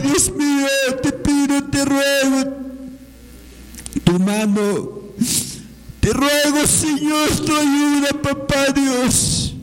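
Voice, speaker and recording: A man praying fervently and spontaneously in a pleading, impassioned manner, repeatedly calling on God. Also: very high voice. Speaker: worshipper leading prayer. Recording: long echoing hall reverb.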